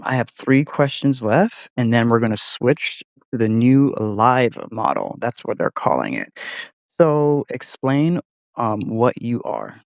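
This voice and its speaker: A man's voice: medium pitch, gravelly, no echo. A man hosting an AI demo in a casual, informal way, thinking aloud as he puts questions. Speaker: host testing an AI voice model. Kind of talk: casual spoken interview.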